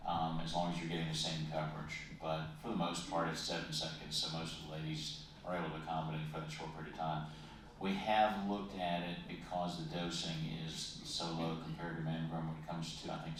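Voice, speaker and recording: A man presenter calmly answering an audience question in plain, even terms. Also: a bass voice, a little hiss or hum. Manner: calm, plain, even explanations. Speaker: presenting speaker. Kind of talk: conference Q&A answer. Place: large conference hall. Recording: echoey hall, distant mic.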